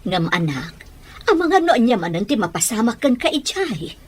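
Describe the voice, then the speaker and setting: A woman acting a protective, sharp-tongued, doubting mother in an Ilocano audio drama, with a husky voice. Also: a little high, big pitch swings, normal speed. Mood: worried, questioning.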